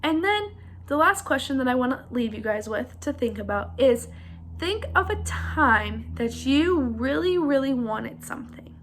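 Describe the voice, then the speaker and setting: Female, high-pitched, children's storybook narration, interactive read-aloud. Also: expressive, unhurried, a little hiss or hum.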